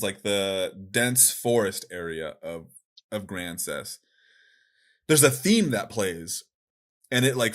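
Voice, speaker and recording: A man chatting casually as a podcast co-host, with an animated, informal manner. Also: lowish voice. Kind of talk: casual podcast chat about video games.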